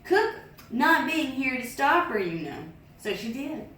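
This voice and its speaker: A woman reading a picture book aloud as a storyteller, lively, with sing-song sound effects. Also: high voice, measured pace. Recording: echoey room, distant mic.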